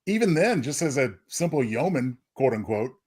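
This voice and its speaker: A man's voice: mid-range voice, big pitch swings, moderate pace, a little mellow, breathy edge. A man speaking as a podcast panelist in a casual, analytical discussion.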